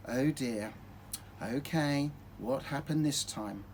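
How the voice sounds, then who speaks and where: A man voicing a gentle, patient mother dragon in a storybook, softly and soothingly. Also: medium pitch, slow pace, a little hiss or hum, lightly acted. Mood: concerned, caring.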